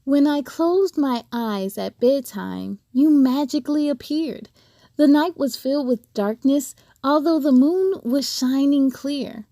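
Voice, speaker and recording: A woman voicing a gentle, eager ten-year-old girl in a storybook, with an expressive, wondering and inviting manner. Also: high-pitched, no echo, studio quality.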